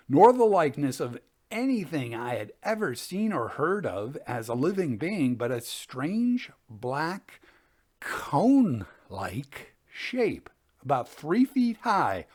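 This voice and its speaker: Guy hosting and reading old ghost stories, takes his time, measured and expressive. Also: fairly high pitch. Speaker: host and reader of ghost stories. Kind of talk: dramatic storytelling narration of Victorian tales.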